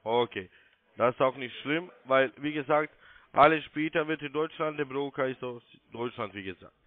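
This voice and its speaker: A man's voice: medium pitch, normal speed. A man working as a call centre sales agent, giving insistent, repetitive step-by-step instructions over the telephone. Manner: insistent, repeats himself. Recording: muffled narrow-band phone line.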